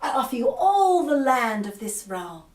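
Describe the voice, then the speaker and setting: A woman's voice: high voice, sing-song, voice pushed up. Ereshkigal, a woman voicing a moaning underworld queen. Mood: imperious. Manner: moaning, drawn-out cries. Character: tormented pregnant goddess queen of the underworld. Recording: roomy echo, distant mic.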